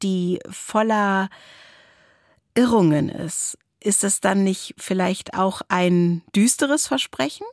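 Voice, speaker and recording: A woman's voice: medium pitch, no room sound. Woman podcast host, dry close sound. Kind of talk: reflective conversation.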